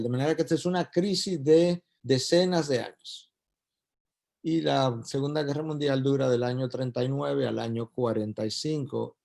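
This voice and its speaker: A man's voice: mid-range voice, mellow. A man with a Puerto Rican Spanish accent giving an emphatic church talk, building his points through repetition. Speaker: speaker at a church event. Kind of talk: sermon-style talk to doctors.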